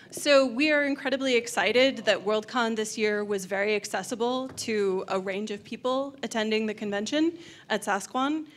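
A woman presenting on a handheld mic in a large hotel function room, upbeat and eager. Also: mid-range voice. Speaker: convention presenter. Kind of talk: panel presentation. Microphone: handheld microphone.

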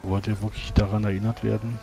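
A man playing a solemn, measured priest in a tabletop game, speaking formally and archaically as he counsels a comrade. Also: on the low side, little pitch movement, creaky, mellow, soft background music. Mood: calm, reproving. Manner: formal archaic speech, preachy, deliberate. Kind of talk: tabletop roleplay in character.

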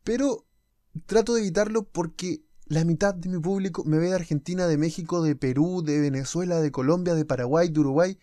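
A man's voice: on the high side, fairly quick, dark tone, no echo. A young man from Chile with a lightly Chilean accent, talking casually to his viewers over gameplay as a gaming YouTuber. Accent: Chilean Spanish, softened toward neutral. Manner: casual, direct, explaining himself to viewers. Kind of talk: unscripted talk to camera over gameplay.

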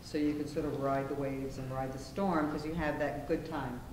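A middle-aged woman explains her points in a measured, steady way. She sounds far from the mic, and the stage rings around her. Clear background noise sits under her voice. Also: a bass voice. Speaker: panelist, conflict resolution practitioner. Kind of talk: onstage discussion. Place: theater stage, seated. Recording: distant, echoey stage with noise.

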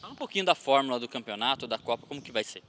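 A man's voice: mid-range voice, lively intonation, slight background noise. A man in his thirties, a field reporter interviewing a guest in a sports gym with a handheld mic. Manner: brisk, bright questioning.

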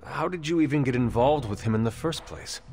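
A man's voice: mid-range voice, warm tone, in a large hall, soft background music, close to an everyday voice. A man playing an earnest, composed warrior hero in a video game, formal and polite. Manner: formal, measured, polite, without contractions. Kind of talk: dramatic video game dialogue.

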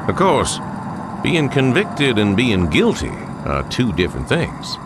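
An actor playing a grave chronicler of prison horrors, reading a dramatic narration in an ominous, measured, literary manner for a video game. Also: male voice, mid-range voice, huge pitch swings, noisy background.

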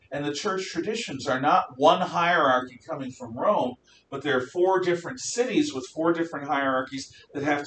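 Older American man lecturing, distant and echoey. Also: fairly bright tone. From northeastern United States, around New York City and New England. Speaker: history teacher. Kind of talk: classroom lecture. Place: classroom, indoors. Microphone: distant camera at back of room. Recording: roomy, distant microphone, noticeable hiss and rumble.

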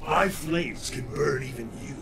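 An actor playing a vengeful, defiant undead ninja in a video game, with a gravelly, menacing growl and terse, formal threats. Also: male voice, medium pitch, a little slow, loud music behind the voice.

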